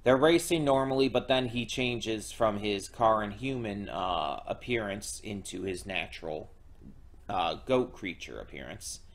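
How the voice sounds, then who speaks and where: Lowish-voiced man recapping casually. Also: animated. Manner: halting, many fillers and restarts. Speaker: reaction video host. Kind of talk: casual recap of a show episode.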